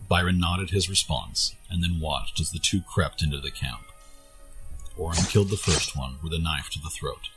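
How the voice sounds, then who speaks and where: A man's voice: sits low, a bit bright, rough recording. A man reading scripted narration for a fantasy adventure story.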